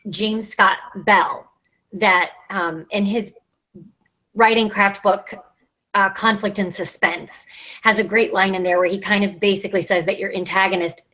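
A woman's voice: mid-range voice, a bit bright, rough recording. A woman in her thirties casually explaining writing craft as a panelist on a video-call podcast. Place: small plain room, indoors. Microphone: earbud headset on a video call.

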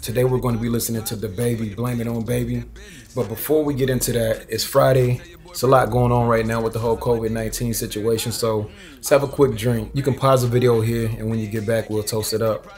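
A man's voice: mid-range voice, flat delivery, a little fast, a little mellow. A man who grew up in Washington State, hosting a casual album review in a laid-back, slangy way. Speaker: music reviewer, channel host. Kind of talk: casual album reaction chat. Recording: noisy background.

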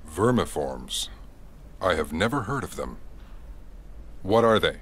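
A man's voice: low-pitched, big pitch swings, takes it slowly, fairly bright tone, slight background noise, full character voice. A man voicing a grave, middle-aged fatherly king in a fantasy game, formal and regal. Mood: concerned. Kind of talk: video game voice acting.

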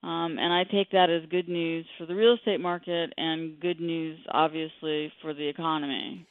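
Phone-line guest: middle-aged female expert, explanatory and measured, dry sound with narrow bandwidth. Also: deep voice, flat delivery, no echo. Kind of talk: radio interview, explanatory talk.

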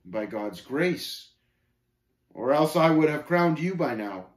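Man playing an earnest pilgrim, echoey and animated. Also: on the high side, takes it slowly, voice pushed up. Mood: indignant. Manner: archaic speech, protesting, firm. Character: earnest, indignant male pilgrim defending his faith. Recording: roomy, echoing voice recording.

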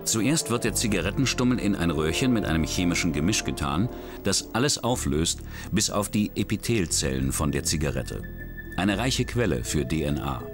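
A man giving scripted true-crime documentary narration in a measured, even way. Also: low-pitched. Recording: studio voice-over with music bed.

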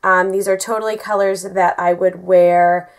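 A young woman in her twenties, raspy from a cold, chatting through a makeup tutorial in a bedroom, full of ums and likes. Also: fairly low pitch, flat delivery, moderate pace. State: getting over a cold. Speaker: beauty vlogger. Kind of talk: casual makeup tutorial talk. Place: bedroom, indoors.

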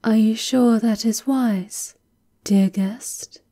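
A woman acts as a watchful female castle guard in an ASMR roleplay. Her tone is extremely mellow and hushed, and she talks very slowly, formal and reserved, with stern duty. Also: on the high side, fairly even intonation. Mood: reserved, cautioning.

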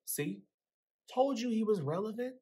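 A man's voice: high voice, animated, measured pace, mellow, slightly rough, full character voice. A young man with an American accent playing a guarded, streetwise teenage boy in a dramatic book reading. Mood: laid-back, insistent. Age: young adult. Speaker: actor reading a character's dialogue. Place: indoors in a small plain room. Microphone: video call, close to computer.

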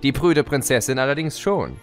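A man playing a gloating, mischievous spirit of chaos who tells his tale in a theatrical, mocking, drawn-out way for an audio drama. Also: medium pitch, animated, faint music behind, close to an everyday voice. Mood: smug storytelling. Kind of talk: German audio drama narration and character acting.

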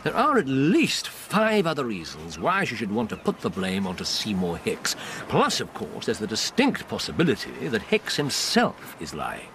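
An actor playing a shrewd, sceptical detective in a British radio drama, with an English accent and an incisive, musing manner. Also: male voice, medium pitch, huge pitch swings, moderate pace, hardly any pauses, a bit bright, a little hiss or hum. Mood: incisive, probing. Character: brisk, shrewd, sceptical male detective, coolly analytical.

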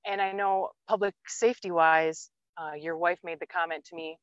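Upbeat woman host, lower voice. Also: fairly even intonation, moderate pace, dry recording, dull audio. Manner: upbeat, leading questions with recaps. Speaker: host, soil health interviewer. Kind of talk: casual outdoor interview. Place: farm field outdoors.

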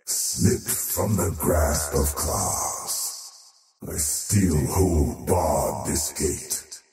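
An actor playing a power-hungry, faceless void creature for a video game, slow, portentous and theatrical in his gloating menace. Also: very deep voice, full character voice. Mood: ominous, gloating. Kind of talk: scripted video game character voice lines. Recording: heavily echoing, distant, loud music behind.

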